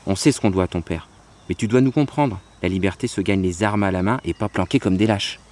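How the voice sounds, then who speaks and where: A man's voice: sits low, brisk, a little mellow, lightly acted. A young man playing a hot-headed youth in hiding in a radio drama, impassioned and eager to fight. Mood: defiant, impassioned. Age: young adult.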